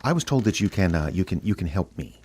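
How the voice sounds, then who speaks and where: A man's voice: low-pitched, big pitch swings, fast, a little mellow, breathy edge, heavily acted. An actor playing a nervous, clumsy, polite customer in an audio drama, stammering and apologizing as he goes.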